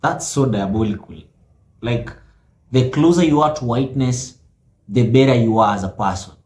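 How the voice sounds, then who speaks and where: A man's voice: medium pitch, normal speed, darkish tone, reverberant. A man hosting a reaction video, commenting in emphatic, preachy asides.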